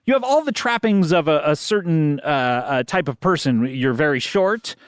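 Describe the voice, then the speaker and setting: A middle-aged man hosting an improvised comedy podcast, teasing his guest and halting over a lot of uh's. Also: fairly high pitch, expressive, clean tone, dry recording, clean studio sound. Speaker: host.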